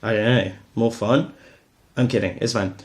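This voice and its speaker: A man's voice: fairly low pitch, steady tone, moderate pace, a little mellow, slight background noise. A man with a southern English accent giving a casual, chatty self-introduction. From Canterbury, Kent, England. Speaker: podcaster.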